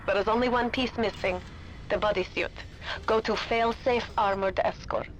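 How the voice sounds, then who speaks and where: A woman's voice: mid-range voice, steady tone, moderate pace, slight background noise, close to an everyday voice, rough recording. Woman playing a brusque German-accented scientist. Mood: brusque, instructing. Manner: clinical, brusque, disgusted. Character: stern, disdainful European woman scientist guiding the player. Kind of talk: video game character acting. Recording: loud music behind voice. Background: loud game music.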